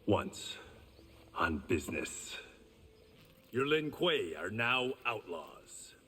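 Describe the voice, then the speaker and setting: Confident game swordsman, mid voice, lively delivery, long reverb, faint music. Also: lowish voice, extremely slow, slightly rough, heavily acted. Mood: calm. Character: honourable, confident reformed gangster swordsman.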